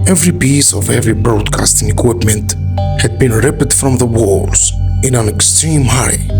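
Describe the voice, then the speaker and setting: Dramatic male documentary narrator over music. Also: medium pitch. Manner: dramatic, ominous storytelling with deliberate pauses. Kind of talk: scripted suspense documentary narration.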